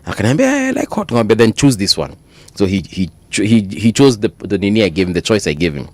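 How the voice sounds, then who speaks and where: A Kenyan man co-hosting a morning radio show, telling stories in an easygoing, chatty way. His pitch swings up and down a lot as he gets into the story. The studio sound is close and dry. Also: fast talker, no echo. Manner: animated storytelling, mixes Swahili into English, laughs often. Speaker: radio co-host. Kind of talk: casual on-air banter. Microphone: studio microphone.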